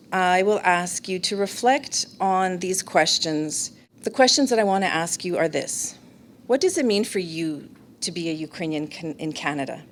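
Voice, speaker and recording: Low-voiced woman moderating a panel. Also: slight background noise. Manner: measured, slows down to pose questions. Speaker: round table moderator. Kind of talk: formal introductions and questions.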